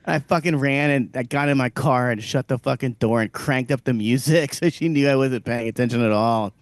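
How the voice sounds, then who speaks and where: A man's voice: mid-range voice, fairly quick, no echo. A man co-hosting a podcast, talking in crude, rambling casual chat and laughing often. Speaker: podcast co-host.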